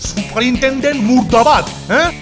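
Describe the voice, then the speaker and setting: High-pitched blustering hostel superintendent. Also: male voice, fairly quick, music in the background. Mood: furious, threatening. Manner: scolding, blustery, repeats himself. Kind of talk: cartoon voice acting.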